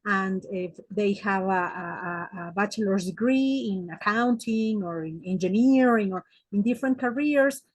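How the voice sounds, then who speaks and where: A woman in her forties from Mexico City with a Mexican Spanish accent, a native Spanish speaker answering interview questions as a psychotherapist, pausing often on "eh" and restarting phrases. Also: medium pitch. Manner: hesitant, many "eh" fillers and restarts. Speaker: psychotherapist guest.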